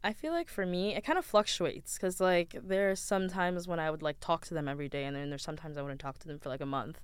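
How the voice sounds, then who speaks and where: A young woman from Ethiopia in a casual podcast chat, talking in a measured way with the odd "um". Her voice is low and strikingly clear and resonant. It is recorded close and dry. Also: no echo. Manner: measured, with small hesitations and "um".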